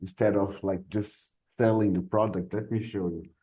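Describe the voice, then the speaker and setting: A man's voice: on the low side, fairly even intonation, moderate pace, dark tone, husky, low-quality recording. A man with a Brazilian accent hosting a casual podcast chat, playful, laughing often and stumbling over words. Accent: Brazilian Portuguese. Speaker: podcast host and English teacher.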